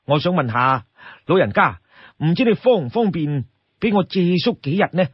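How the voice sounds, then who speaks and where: Man playing a courteous young Cantonese peddler, lively pitch. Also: normal speed, slightly rough, no room sound, highs cut off. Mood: earnest, pleading. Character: polite young male travelling peddler, courteous, persuasive.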